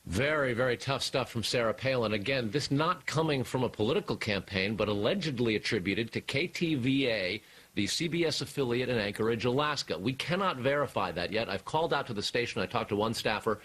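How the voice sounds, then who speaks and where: A man with an American accent reporting live as a television news correspondent, deliberate and emphatic. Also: mid-range voice, animated, fairly bright tone, husky, low-quality recording.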